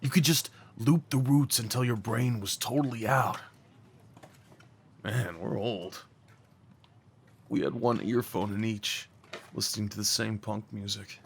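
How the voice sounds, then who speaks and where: A man playing a remorseful young former delinquent tenderly confessing old love in an intimate roleplay audio drama, speaking haltingly and earnestly. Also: on the low side, gravelly, slight background noise. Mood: wistful, nostalgic. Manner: halting, confiding, emotionally earnest.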